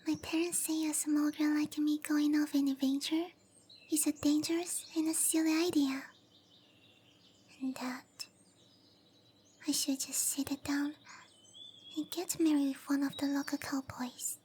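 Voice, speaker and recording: Casting: petite fantasy cowgirl for roleplay ASMR. Extremely high voice, unhurried, bashful, soft-spoken, longing for adventure. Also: little pitch movement, slight background noise. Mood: frustrated, downcast. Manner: hesitant, apologetic, soft-spoken with breathy delivery. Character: tiny, shy young cowgirl monster girl yearning for adventure. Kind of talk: roleplay ASMR, anime-style character acting.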